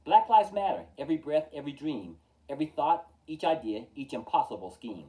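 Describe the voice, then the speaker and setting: A man reads a rhyming picture book aloud as a storytime host. His delivery is very animated and theatrical, starting phrases with emphasis and letting them fall at the end. The tone is mellow, and he sounds a little far from the microphone. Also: fairly high pitch. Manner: theatrical, measured delivery with emphatic openings and falling line endings. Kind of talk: expressive children's picture-book read-aloud in rhyme.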